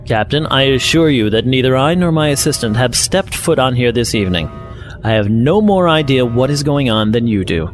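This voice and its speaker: Guy playing a stuffy sci-fi professor, formal, explains stuff carefully, bit defensive, music loud underneath. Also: medium pitch, expressive, normal speed, lightly acted. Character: composed, defensive male scientist professor. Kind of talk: science fiction audio drama acting.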